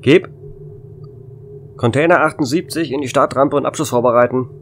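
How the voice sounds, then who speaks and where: A man's voice: mid-range voice, normal speed, close to an everyday voice. A man playing a wry, easygoing space station worker in a German radio play, with a casual, teasing manner. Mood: focused. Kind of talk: amateur radio drama acting. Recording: faint noise and hum.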